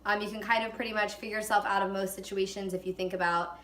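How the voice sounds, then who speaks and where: A woman's voice: mid-range voice, fairly even intonation, quick pace, fairly bright tone, slight background noise. A woman in her twenties giving reflective interview answers, hesitant and full of fillers. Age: 20s. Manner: hesitant, with many fillers and hedges. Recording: echoey room.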